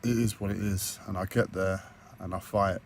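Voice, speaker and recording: Croaky guy vlogging into a tablet, deep monotone, lots of ums and restarts, faint hiss behind. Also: moderate pace. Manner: hesitant, many fillers and restarts. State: croaky, hoarse voice. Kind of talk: personal video diary.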